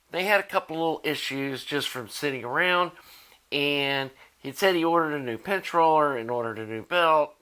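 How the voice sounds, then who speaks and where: Guy rambling through a diy build, folksy, lots of "you know", bright tone. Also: a little high, smooth. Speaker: electronics DIY video maker. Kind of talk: casual hands-on explainer.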